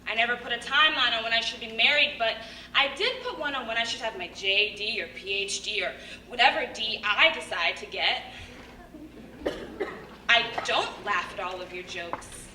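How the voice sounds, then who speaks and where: A young American woman performs a monologue in an echoey hall, picked up from a distance. Her voice is fairly high and extremely bright. She is assertive and emphatic, landing each punchy statement with a pointed pause. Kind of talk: theatrical spoken monologue on stage. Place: stage at a live show. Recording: echoey hall from far off, faint noise.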